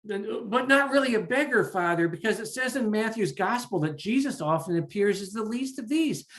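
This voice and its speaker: A storyteller voicing a devout, gentle young woman, a king's daughter, in a told legend, earnest and soft-spoken. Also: medium pitch, lively intonation, normal speed, pitched above the performer's own voice, lightly acted.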